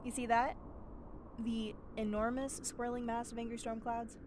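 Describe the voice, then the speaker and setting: A woman's voice: on the high side, normal speed, lightly acted. A woman playing a sarcastic, impatient wasteland survivor in an audio roleplay, talking straight to the listener in a wry, exasperated, brusque manner. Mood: urgent, wry. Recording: steady ambient noise behind the voice. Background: howling wind sound effects.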